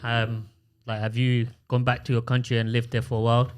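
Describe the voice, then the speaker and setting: Male host, mid voice, halting casual questions with lots of fillers. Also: medium pitch, monotone, clear, resonant tone. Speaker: podcast host. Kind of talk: casual chat.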